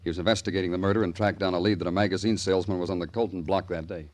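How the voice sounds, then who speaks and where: An actor playing a tough, dogged homicide detective with a terse, dry manner in 1950s radio crime drama. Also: male voice, low voice, steady tone, racing, natural voice. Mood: dry, pressing.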